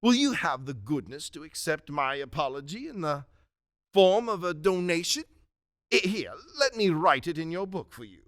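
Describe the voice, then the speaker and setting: Radio drama old gentleman, played by a man: reformed, eager, apologetic, higher-pitched and very lively, recorded dry. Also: normal speed, no echo. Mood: apologetic, earnest. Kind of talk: community radio drama acting.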